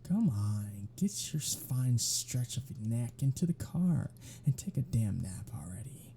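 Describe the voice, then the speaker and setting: A performer voicing a teasing, unrepentant vampire in an ASMR roleplay audio drama, with a husky, breathy voice and a sardonic, casual manner. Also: medium pitch, sing-song, measured pace, a little hiss or hum. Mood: teasing, fond. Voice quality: husky, breathy, dark-toned. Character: teasing, unrepentant vampire predator travelling with human hunter.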